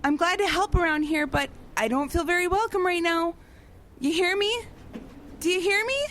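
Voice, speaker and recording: Huffy young intern girl in an audio drama, high voice, sarcastic, some noise behind. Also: moderate pace, a bit bright. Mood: annoyed, nervous. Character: indignant young female intern, easily offended.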